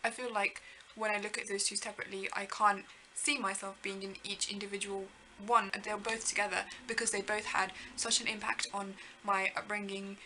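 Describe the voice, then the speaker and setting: A woman's voice: medium pitch, flat delivery, normal speed, pushed and bright, slight background noise, low-quality recording. A young woman from Kenya, talking reflectively to camera in a rambling, earnest, self-deprecating way. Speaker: vlogger. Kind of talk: reflective casual talk to camera.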